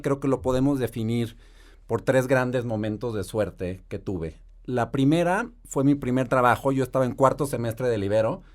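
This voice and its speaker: A Mexican man, probably in his thirties, a private equity investor telling his career story in a measured, articulate way. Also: medium pitch, darkish tone. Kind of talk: podcast interview storytelling.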